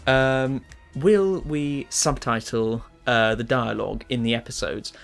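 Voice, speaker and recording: A woman's voice: very deep voice, measured pace, creaky. A woman co-hosting a casual question and answer chat, speaking a little hesitantly as a co-creator. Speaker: co-creator of a fan parody series, answering viewer questions. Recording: voice over background music. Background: instrumental music.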